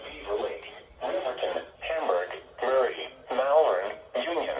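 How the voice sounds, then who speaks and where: A man's voice: medium pitch, lively intonation, unhurried. Synthetic male weather radio announcer, muffled. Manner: impersonal, synthetic, list-like reading. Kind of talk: formal scripted emergency bulletin. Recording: dull weather radio, loud chatter over it. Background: streamer talking over the alert.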